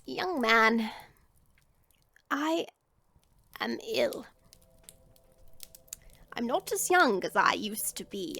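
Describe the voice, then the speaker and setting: A woman playing a kindly, ailing old village witch in a fantasy audio drama, speaking gently and formally. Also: a little high, a little hiss or hum, exaggerated voice. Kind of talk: fantasy audio roleplay acting.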